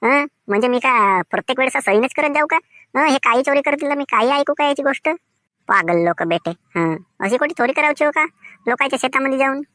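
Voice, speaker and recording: Woman playing an indignant, sharp-voiced village farmer. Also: high-pitched, lively intonation, a little fast, no echo, clean studio sound. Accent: Vidarbha. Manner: scolding, repetitive rhetorical questions. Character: indignant, scolding male village farmer guarding his fields. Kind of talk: amateur village cartoon comedy.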